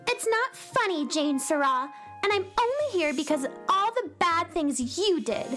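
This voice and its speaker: A woman's voice: very high-pitched, expressive, unhurried, fairly bright tone, soft background music, full character voice. A woman playing an earnest, perky little girl space heroine in a children's radio comedy, exclaiming with indignation. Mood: indignant. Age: child figure.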